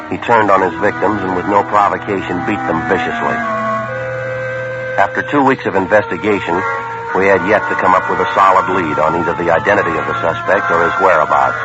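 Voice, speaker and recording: Actor as a deep-voiced, no-nonsense police sergeant narrator, 1950s radio drama, muffled old recording. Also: male voice, close to an everyday voice. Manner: clipped, flat, matter-of-fact delivery. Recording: dull old radio broadcast with music.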